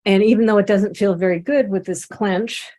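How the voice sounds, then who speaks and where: Gentle woman guiding self-inquiry. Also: medium pitch, steady tone, moderate pace. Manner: gentle, encouraging, drawing while talking. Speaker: satsang facilitator and coach. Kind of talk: guided self-inquiry session over video call.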